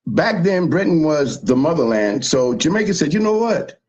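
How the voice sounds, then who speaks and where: A man from the South Bronx, telling history in animated, emphatic casual chat as a show host. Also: on the high side, moderate pace, dull audio. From South Bronx, New York.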